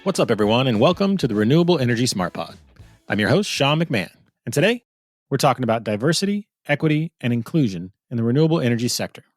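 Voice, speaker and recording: A man's voice: mid-range voice, big pitch swings, fast, faint music behind. A man hosting a podcast interview, reading a scripted intro and then asking questions conversationally. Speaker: podcast host.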